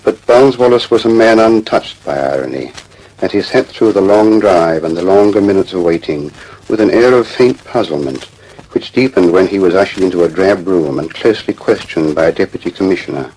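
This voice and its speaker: A man's voice: deep voice, lively intonation, a little fast, a little mellow, slightly rough, poor audio. A man delivering dramatic scripted narration for an old radio drama.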